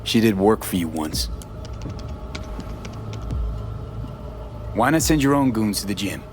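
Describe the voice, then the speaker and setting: An actor playing a streetwise, sardonic young mercenary with an American accent in a video game. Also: male voice, lowish voice, expressive, a little fast, music in the background, lightly acted. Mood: terse, wry.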